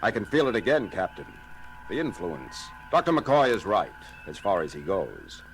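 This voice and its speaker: A man's voice: deep voice, huge pitch swings, normal speed, creaky, a little hiss or hum, heavily acted, poor audio. A man playing a logical alien starship science officer, speaking formally and precisely in a science fiction audio drama. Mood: grave. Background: loud dramatic music.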